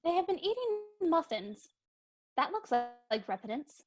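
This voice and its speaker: A woman's voice: extremely high pitch, very animated, normal speed, faint music behind. A woman playing a prim, naive young country lady with earnest, formal speech in a comic stage play reading. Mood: naive fretting. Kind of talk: dramatic reading of Victorian comedy play.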